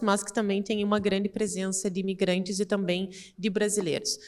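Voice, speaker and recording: Brazilian woman moderating a panel. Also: mid-range voice. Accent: Brazilian Portuguese. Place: embassy conference room.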